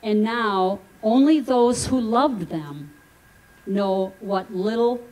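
A woman's voice: on the high side, takes it slowly, darkish tone, a little hiss or hum, rough recording. A woman in her sixties speaking informally to a gathering and reading aloud into a stand microphone indoors. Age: 60s. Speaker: speaker at a class reunion. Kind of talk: informal public remarks and poem reading. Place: indoor venue with brick wall, on a small stage.

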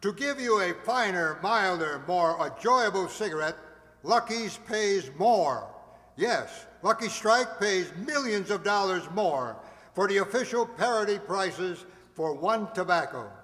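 A man playing an earnest, emphatic cigarette pitchman in an old 1940s radio show, declaiming his sales lines. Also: lively intonation, unhurried, a bit bright. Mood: earnest selling. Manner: declamatory sales patter with built-up repetition. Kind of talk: 1940s radio advertisement acting. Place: stage in a hall. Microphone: microphone on a stand. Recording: echoing hall sound.

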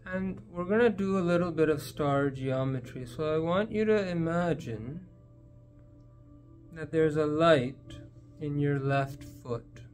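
A man leading a guided meditation in a gentle, unhurried manner, leaving long pauses between phrases. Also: on the high side, faint music behind.

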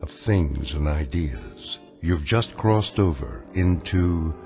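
A man narrating a radio drama in a measured, ominous way. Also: extremely low pitch, lively intonation, music in the background. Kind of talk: scripted narration.

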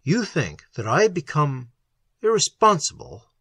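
Horror audiobook role: male reader as haunted, anguished explorer, moaning and faltering, husky, wildly varied pitch. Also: wildly swooping pitch, fairly bright tone, no room sound, heavily acted. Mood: wounded, bitter. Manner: halting, moaning and sobbing, anguished. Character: tormented, trembling male explorer back from captivity. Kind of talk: dramatic horror audiobook acting.

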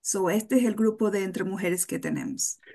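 A woman speaking as a guest over a video call, unhurried, describing a networking group and slipping English fillers into her Spanish. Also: medium pitch, fairly even intonation, dark tone. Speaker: guest, women's networking organizer. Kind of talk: describing an event in an interview.

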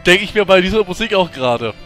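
A woman's voice: fairly low pitch, lively intonation, fast, fairly bright tone. A woman making casual side comments during a visual novel let's play. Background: music.

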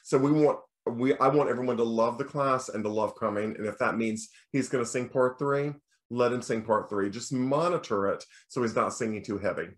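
A middle-aged American man with a bass voice, a choir teacher giving a recorded lecture in a relaxed, explaining way. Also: normal speed. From United States. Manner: relaxed explaining, breaks into sung demonstrations. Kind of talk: recorded lecture over slides.

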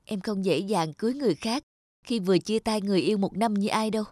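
A woman's voice: mid-range voice, fast, a little mellow, no room sound, clean studio sound, close to an everyday voice. A woman voicing a proud, manipulative young ex-girlfriend in a cartoon drama, dramatic and reproachful. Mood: bitter.

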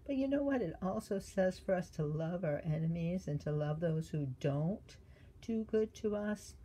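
Low, husky older woman reading to children. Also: expressive, moderate pace, low-quality recording. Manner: gentle teacherly questioning, addressing children directly. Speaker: children's devotional reader. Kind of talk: read-aloud to young children with chatty asides.

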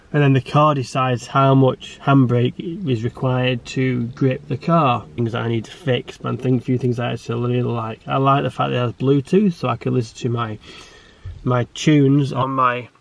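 British male vlogger, 30s, nasal from a cold, monotone with some fry, chatty and meandering, recorded in a car. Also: mid-range voice. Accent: British English. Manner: rambling, with many restarts. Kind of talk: casual walkaround vlog. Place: in a parked car.

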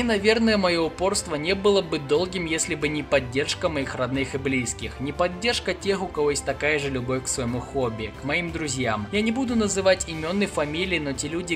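A young man hosting a video channel, narrating a scripted vlog in an earnest way with emphatic phrase openings. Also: on the high side. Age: young adult.

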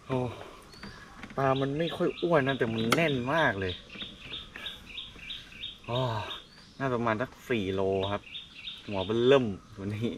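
A young man in a fishing vlog, talking to the camera from a kayak on a canal and exclaiming loudly whenever a fish bites. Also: medium pitch, unhurried, long pauses, far from the mic, noisy background. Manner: exclaims loudly at each catch, calmer when explaining. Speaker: fishing vlogger. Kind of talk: excited casual commentary while fishing. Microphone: action camera on the boat. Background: music.

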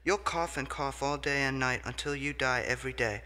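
A man voicing a persuasive tempter who speaks quickly and reassuringly while promising bad things sweetly. He is heard in an echoey hall. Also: medium pitch, sharp tone, close to an everyday voice. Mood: coaxing. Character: smooth-talking tempter, a disease in human form.

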